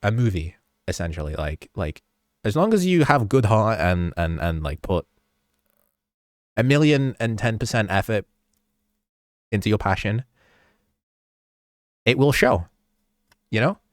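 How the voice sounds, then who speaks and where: A man with a lowish, slightly blocked-up voice talks through his editing work in a loose, rambling way. He trips over his own sentences and laughs at his mistakes. The sound is dry and close, with no room echo. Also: sing-song, a little slow, long pauses. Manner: rambling, self-interrupting, chuckling often. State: stuffy, a bit sick. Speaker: video creator explaining his edits. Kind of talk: rambling behind-the-scenes commentary.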